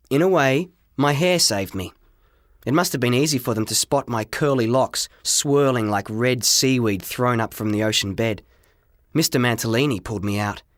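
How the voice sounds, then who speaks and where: A man narrating a story in the first person as an audiobook reader, with expressive, dramatic, deliberate phrasing. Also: fairly low pitch, no echo, clean studio sound.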